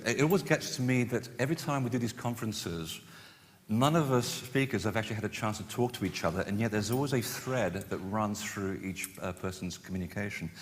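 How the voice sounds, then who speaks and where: A man born in Portugal speaks to a conference audience in a somewhat low voice. His delivery is conversational and self-deprecating, scattered with ums and restarts. His voice carries a long reverb from the hall. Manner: chatty, self-deprecating, frequent fillers and restarts. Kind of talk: lecture to a live audience.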